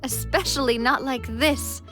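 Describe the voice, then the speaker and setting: High-pitched, earnest young game heroine. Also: female voice, a little slow, soft background music. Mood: touched, grateful. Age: young adult. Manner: expressive, sincere, polite. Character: earnest, plucky young woman, leader of a clan. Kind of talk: video game voice acting.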